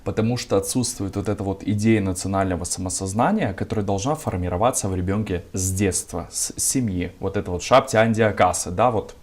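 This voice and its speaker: A man's voice: low-pitched, a little mellow. Earnest young man making his case. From Gagauzia, Moldova. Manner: measured, argumentative, frequent filler words. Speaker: civic activist guest. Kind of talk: earnest interview answers.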